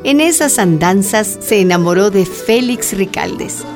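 A woman's voice: medium pitch, lively intonation, measured pace, music in the background. A woman reading scripted narration for a radio drama as its storyteller.

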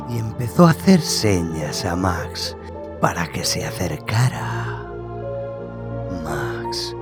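Male narrator for horror audio fiction: deep, unhurried and suspenseful, over prominent music. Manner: measured, suspenseful, with dramatic pauses. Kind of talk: dramatic scripted horror narration. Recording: loud music under the voice. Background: loud music bed.

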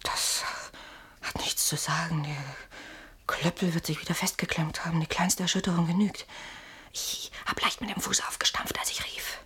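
Bold no-nonsense woman, kinda low husky breathy voice, pushy, radio drama. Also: steady tone, normal speed, pushed and bright, in a whisper, heavily acted. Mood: hushed, reproachful. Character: bold, practical woman, impatient with her fearful companion. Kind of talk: German radio play acting.

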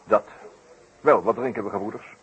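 An actor playing an urbane, teasing detective in a 1960s radio play, with pompous, ironic, wordy phrasing. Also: male voice, fairly low pitch, moderate pace, a bit bright, highs cut off, lightly acted. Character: wry male amateur detective, urbane, teasing, inquisitive. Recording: noisy vintage radio play recording.

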